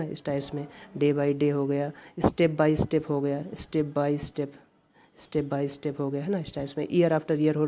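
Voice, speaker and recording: Low, mellow-voiced woman teaching grammar. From India. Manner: repetitive, reads example sentences slowly and deliberately. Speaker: English exam teacher. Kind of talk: explaining grammar questions.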